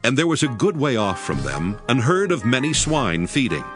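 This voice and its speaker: Expressive male scripture narrator over music. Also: mid-range voice, normal speed. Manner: formal, solemn storytelling. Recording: music behind the voice.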